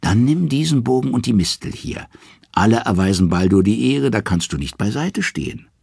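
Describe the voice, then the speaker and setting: A man's voice: mid-range voice, animated, moderate pace, vocal fry, a little mellow, husky, dry recording, studio quality, voice pushed up. A man voicing a scheming, two-faced trickster god in an audio saga, wheedling and manipulative. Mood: sly, coaxing.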